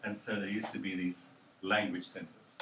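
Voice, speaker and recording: An older man, a linguist, answering interview questions in a halting, thoughtful way with many pauses and ums. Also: low voice, level tone, noisy background. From Australia. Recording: roomy, distant mic with faint ambience.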